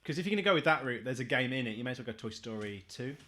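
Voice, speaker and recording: Guy on a podcast panel, talks quick, bright voice, jokey and opinionated. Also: mid-range voice, expressive. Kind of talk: casual chat.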